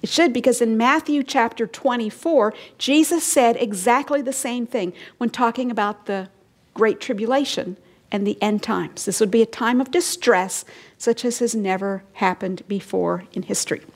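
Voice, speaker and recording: A woman preaching an expository sermon, emphatic and rising and falling in tone, sometimes dropping to a hush and asking her listeners questions. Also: fairly high pitch, moderate pace. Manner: emphatic, rising and falling, drops to hushed lines; explains and asks the listeners questions.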